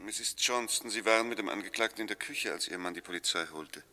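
A man's voice: on the low side, fast talker, sharp tone. A man acting an eloquent, sardonic defence barrister in a 1960s German radio courtroom play, with emphatic, rhetorical phrasing. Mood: insistent, reasoning.

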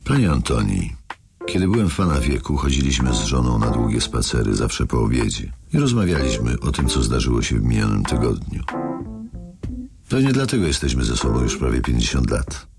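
An actor in a radio drama playing a menacing, philosophical older man who speaks with slow, polite needling. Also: very animated, heavily acted. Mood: menacing, musing. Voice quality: very deep gravelly voice. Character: menacing older married man with a grown daughter, coolly philosophical. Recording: studio radio play with music underneath.

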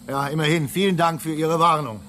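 An actor playing a patient, pragmatic space engineer in a radio drama, conversational and indulgent. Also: male voice, on the high side, a bit bright, slight background noise, lightly acted. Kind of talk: naturalistic radio drama acting.

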